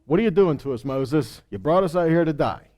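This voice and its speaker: A man's voice: on the high side, lively intonation, fairly quick, a little mellow, natural voice. A man voicing a despairing, whining Israelite in the wilderness, complaining dramatically as part of a sermon. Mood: despairing complaint.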